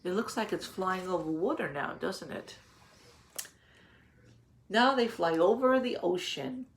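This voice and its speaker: A woman reads a picture book aloud as a teacher would to young children. Her pace is slow and her pitch sits in a middle range. She pauses to explain things simply and patiently, posing questions as she goes. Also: slight background noise.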